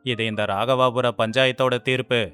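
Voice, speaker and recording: A man plays a stern village headman from a moral storybook, commanding and scolding. The voice is clean and resonant at a middling pitch, with faint music behind it. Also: flat delivery, moderate pace, bright, forceful tone, smooth, dry recording, close to an everyday voice. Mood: stern, threatening. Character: stern, commanding male village council head. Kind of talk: moral story character acting.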